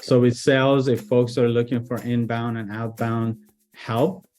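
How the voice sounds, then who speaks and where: A man originally from Iran, speaking as a business guest in a casual interview, relaxed with frequent fillers and restarts. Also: mid-range voice, measured pace. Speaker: guest, IT executive director.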